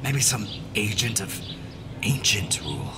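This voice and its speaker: A man's voice: fairly low pitch, very animated, a little slow, noisy background. An actor playing an anxious, skeptical man in a fantasy audio drama, questioning and agitated in manner. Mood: uneasy, resolved. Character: anxious, skeptical male companion monitoring dream connections.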